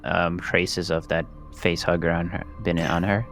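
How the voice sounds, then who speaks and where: Deep creaky-voiced man at a game table. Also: little pitch movement, a little slow, fairly bright tone, soft background music. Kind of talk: casual chat.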